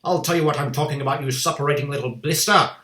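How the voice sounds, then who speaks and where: A man's voice: medium pitch, very animated, normal speed, natural voice. A man voicing a cruel, furious headmistress who barks and screams insults at a pupil. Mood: raging. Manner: barking, shouting insults. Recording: echoey room.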